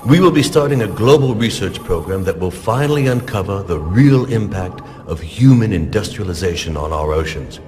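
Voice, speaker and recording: An actor playing a smooth, evasive corporate scientist in a game cutscene. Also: male voice, low-pitched, lively intonation, moderate pace, few pauses, darkish tone, a little hiss or hum. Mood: speechmaking. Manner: polished, dismissive, controlled. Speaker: company scientist giving speeches.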